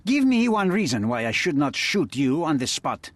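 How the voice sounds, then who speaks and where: A man's voice: fairly high pitch, big pitch swings, moderate pace, no room sound. A man voicing a cold, threatening crime boss and smuggler in video game cutscene dialogue. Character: menacing male crime boss, smuggler and cutthroat.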